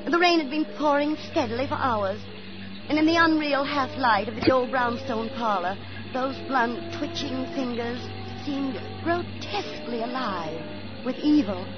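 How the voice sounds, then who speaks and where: A woman playing a fretful, kindly, middle-aged landlady and former actress in a 1940s radio drama, theatrical and expressive. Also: sits high, unhurried. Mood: ominous recollection. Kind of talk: 1940s radio acting and first-person narration. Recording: muffled 1940s radio recording with music.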